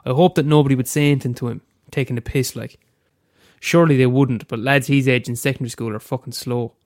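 A man's voice: mid-range voice, steady tone, fast talker, a little mellow, dry recording, clean studio sound. A young Irish man with a head cold, reading aloud a short story he wrote, after a hesitant casual introduction. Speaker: amateur storyteller.

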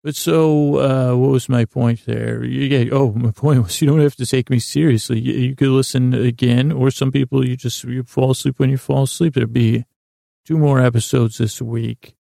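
A man hosting a sleep podcast in a rambling, halting bedtime monologue, losing his thread and restarting in a gravelly voice. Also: mid-range voice, steady tone, warm tone, no room sound, studio quality. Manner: meandering, halting, self-interrupting, absent-minded.

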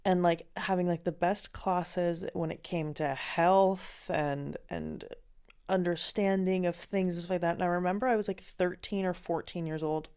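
A woman's voice: lowish voice, steady tone, moderate pace, a little mellow, clear, resonant tone. A woman from Finland hosting a podcast, talking through a candid, reflective personal monologue with lots of "like" and "um". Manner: candid and reflective, with frequent "like" and "um" fillers. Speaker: podcast host.

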